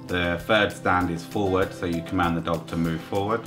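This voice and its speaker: A man's voice: sits low, steady tone, normal speed, music in the background. A man in his thirties plainly explaining guide dog training, interviewed indoors by a window. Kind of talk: interview talk.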